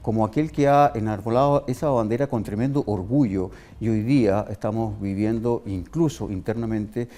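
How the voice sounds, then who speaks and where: A man's voice: lowish voice, moderate pace, hardly any pauses, warm tone. A man with a Chilean accent hosting an interview, putting long rhetorical questions in an emphatic, oratorical way that piles up lists. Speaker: talk show host.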